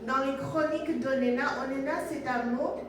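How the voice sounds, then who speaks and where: A woman's voice: high-pitched, steady tone, moderate pace. A young woman whose first language is Oshiwambo gives an earnest, hesitant church testimony as a guest author, with frequent fillers. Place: church hall. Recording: echoey church hall from far off, crowd.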